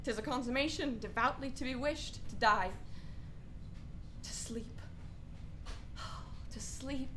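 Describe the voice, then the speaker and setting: A woman playing an anguished, brooding young prince in a Shakespearean radio play, speaking in halting, deliberate soliloquy. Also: high-pitched, noisy background. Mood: brooding, despairing.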